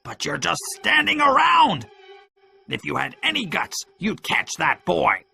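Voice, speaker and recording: Shrieking, gravelly blind pirate villain. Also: male voice, on the high side, lively intonation, moderate pace, voice pushed up, full character voice. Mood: scornful desperation. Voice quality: strained, gravelly. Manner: shouting, cursing orders. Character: furious blind old pirate villain, bullying his gang.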